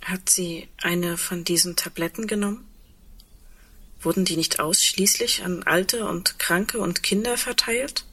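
Hopeful intense woman in a german radio play, bright edgy voice, keeps pushing, intimate. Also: lowish voice, unhurried, husky, full character voice. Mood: probing. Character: earnest, insistent woman clinging to hope before the end.

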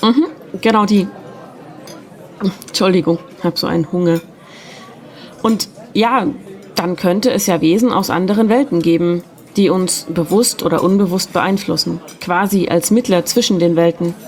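A woman playing a friendly, gently teasing secretary in an audio drama. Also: on the low side, normal speed, lightly acted. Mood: earnest, musing. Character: friendly, gently teasing young female secretary, slightly mysterious. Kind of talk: German audio drama dialogue.

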